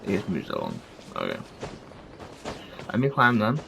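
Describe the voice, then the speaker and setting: A man's voice: sits low, huge pitch swings, moderate pace, creaky, noisy background. A man giving casual, off-the-cuff commentary as he plays a video game. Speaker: gamer commentating playthrough. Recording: game music behind the voice.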